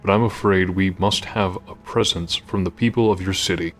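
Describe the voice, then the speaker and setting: A man playing a haughty, commanding holy knight lord in a fantasy audio drama, with measured, formal, imperious speech. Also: low-pitched, fairly even intonation, soft background music, close to an everyday voice.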